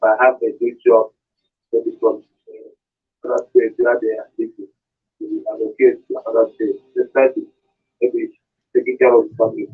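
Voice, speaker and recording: A Nigerian man with a husky voice phoning a radio show to give his opinion. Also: mid-range voice, flat delivery, moderate pace, pauses often, faint music behind. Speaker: radio phone-in caller. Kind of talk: giving an opinion on air. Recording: tinny phone line into radio.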